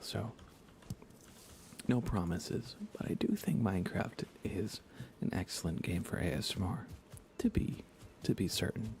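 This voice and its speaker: A soft-spoken man chatting casually on an ASMR gaming livestream, relaxed and often slipping into a whisper. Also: sits low, measured pace, vocal fry. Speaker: livestreamer and host.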